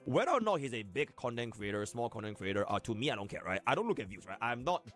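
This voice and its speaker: A man's voice: mid-range voice, very animated, fast, fairly bright tone, faint music behind. A man giving casual commentary, often checking for agreement as he talks.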